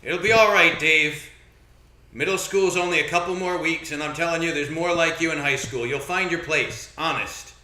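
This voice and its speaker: A man's voice: a little high, moderate pace, sharp tone. A man voicing a kindly man teacher who talks in a comforting, earnest way. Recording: roomy echo.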